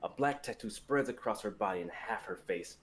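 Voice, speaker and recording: A man's voice: lowish voice, animated, moderate pace, slight background noise. A young man reading stage directions as the narrator of a dramatic fantasy script. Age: young adult.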